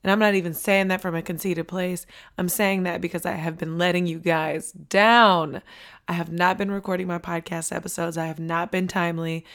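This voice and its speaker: Woman, hoarse, fairly low voice, podcast host, energetic, repeats for emphasis. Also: moderate pace. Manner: energetic, preachy, repeats key lines for emphasis. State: hoarse, losing her voice. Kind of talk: motivational podcast monologue.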